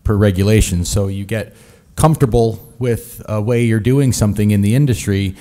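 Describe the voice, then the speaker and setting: A man's voice: fairly low pitch, moderate pace, dark tone. A man speaking as a business owner on a conference panel, earnest and filler-laden. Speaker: company founder panelist. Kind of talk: panel discussion. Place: conference stage.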